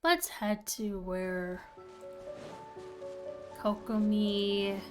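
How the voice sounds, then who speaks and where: A woman's voice: mid-range voice, flat delivery, extremely slow. A woman livestreaming a video game, giving casual commentary as she plays. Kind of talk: casual game commentary, reading dialogue aloud. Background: game music.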